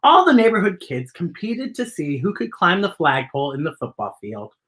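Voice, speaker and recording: Very animated, bright-voiced woman reading to kids. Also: on the low side, normal speed. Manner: playful, theatrical, exclamatory. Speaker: children's story time host. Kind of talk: animated picture-book read-aloud with chatty asides to children.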